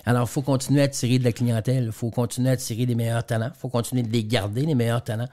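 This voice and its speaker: Man, Quebec French accent, mid pitch, explanatory, repeats words, radio interview guest. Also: flat delivery, rapid-fire, warm tone. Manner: explanatory, lays out business examples, frequent word repeats. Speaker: trainer and speaker, guest.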